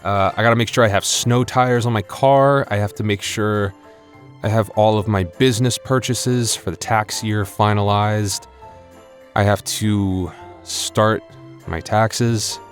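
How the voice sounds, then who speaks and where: A man's voice: fairly low pitch, steady tone, a little slow. A man hosting his audio channel, chatting casually to listeners with wry, self-deprecating humor. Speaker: ASMR audio creator and channel host. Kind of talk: casual rambling outro chat to listeners. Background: music in the background.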